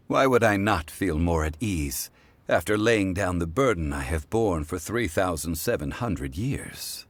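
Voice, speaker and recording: An actor playing a calm, dignified ancient god living as a mortal in a game, speaking in a measured, formal and reflective manner. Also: male voice, sits low, exaggerated voice. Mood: calm, amused. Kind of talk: scripted game cutscene voice acting. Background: soft game music.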